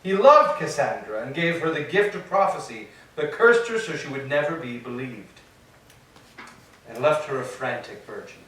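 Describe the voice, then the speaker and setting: A man's voice: medium pitch, lively intonation, moderate pace, fairly bright tone, lightly acted. A man playing a grand, commanding sea god, speaking formally in a staged reading of Greek tragedy. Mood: grave lament. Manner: formal, declamatory. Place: echoing church interior. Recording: very echoey hall, distant mic.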